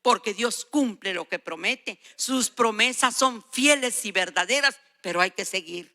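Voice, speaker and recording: A woman's voice: on the high side, a little slow, bright, forceful tone. A woman in her sixties or older preaching a sermon, emphatic and exhorting, repeating phrases for weight. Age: 60s or older. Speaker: guest preacher.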